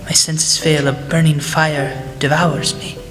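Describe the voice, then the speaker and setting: A teenage woman student playing a gentle, earnest male professor in a radio play, speaking politely and hesitantly. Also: sits low, flat delivery, slow pace, over music. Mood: gentle, thoughtful. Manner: hesitant, polite, formal phrasing. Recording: echoey hall.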